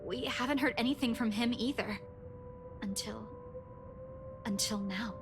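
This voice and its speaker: Office employee, woman, high voice, anxious, polite, visual novel game, music behind. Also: unhurried. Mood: shaken.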